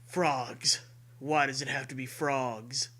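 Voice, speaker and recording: Male comedy host, bright forceful delivery, unhurried pace, hammy read-aloud of parody fiction. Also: medium pitch, steady tone. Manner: theatrical, breaks into laughter while reading.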